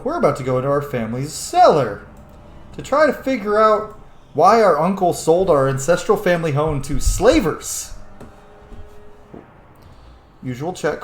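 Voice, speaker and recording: A man's voice: mid-range voice, slight background noise. A man hosting a video game let's-play with chatty, theatrical, sweary casual commentary. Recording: roomy echo.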